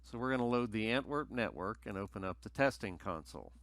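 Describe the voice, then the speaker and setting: A man's voice: fairly low pitch, expressive, fairly quick, a bit bright, no room sound. A man narrating a scripted technical tutorial in a methodical, explanatory way as its presenter.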